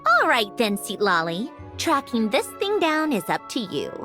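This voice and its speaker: Confident young female researcher, higher-pitched, animated, haughty and sharp-tongued, video game, music underneath. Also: unhurried. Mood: self-assured. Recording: music behind the voice.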